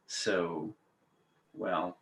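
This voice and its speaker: Slow-talking man in his fifties, echoey room. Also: deep voice, steady tone, vocal fry, fairly bright tone. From Patagonia, Argentina. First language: Spanish. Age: 50s. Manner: chatty, hesitant, conversational asides. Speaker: guest speaker, teacher trainer. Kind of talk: informal webinar talk. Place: indoors on a video call. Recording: roomy, echoey webinar audio.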